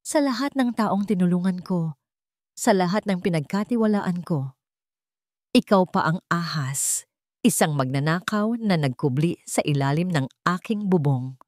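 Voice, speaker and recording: A woman's voice: fairly low pitch, animated, a little slow, a little mellow, no echo, clean studio sound. A woman playing a furious, cold and wealthy household master in a dramatic audio story. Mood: bitter, betrayed.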